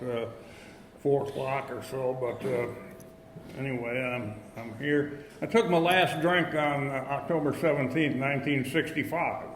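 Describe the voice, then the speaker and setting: An elderly man from upstate New York tells his recovery story to a meeting in a folksy, halting way, full of fillers and restarts. His voice carries a long hall reverb, with faint noise in the background. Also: mid-range voice. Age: 80s. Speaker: AA speaker.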